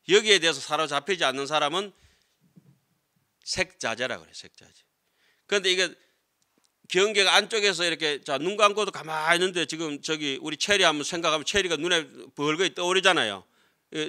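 A middle-aged man lectures as a Buddhist monk. His voice is fairly high and strikingly bright and forceful. He explains conversationally, often putting short tag questions to his listeners. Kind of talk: classroom lecture on Buddhist scripture. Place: lecture room with seated audience, indoors. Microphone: desk gooseneck microphones.

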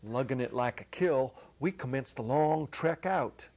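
A middle-aged man giving an expressive dramatic reading of a literary short story, with deliberate pauses. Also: mid-range voice. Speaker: narrator, reader. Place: indoor set, seated at a music stand. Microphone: clip-on lapel.

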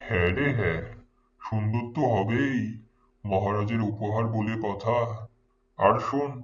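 A man's voice: fairly low pitch, far from the mic, dull audio, close to an everyday voice. A man playing a scheming, irritable middle-aged father in a moral cartoon, with a theatrical delivery. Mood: boastful. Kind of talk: Islamic moral cartoon voice acting.

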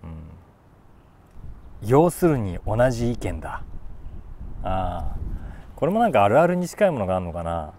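A man in his forties talking casually about a book, thinking aloud and reading short lines with animated emphasis. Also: lowish voice, normal speed, pauses often, a little hiss or hum. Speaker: YouTube host, voice actor. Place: outdoors on a city rooftop.

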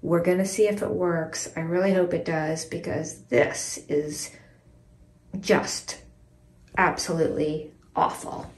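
A woman in her forties chatting casually in an expressive, emphatic way, as a home cleaning vlogger. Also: on the low side, takes it slowly. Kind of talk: casual vlog chat and narration while cleaning. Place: small tiled bathroom, indoors. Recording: roomy bathroom echo, distant mic.

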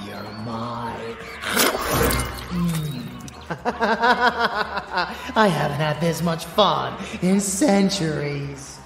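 Sadistic vampire boyfriend for asmr roleplay, super slow theatrical delivery, music behind him. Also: male voice, on the high side, animated, vocal fry, exaggerated voice. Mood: bloodthirsty glee. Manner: theatrical, drawn-out, adoring and menacing. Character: rebellious murderous male vampire lover, gleefully sadistic and possessive. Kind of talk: ASMR roleplay audio drama.

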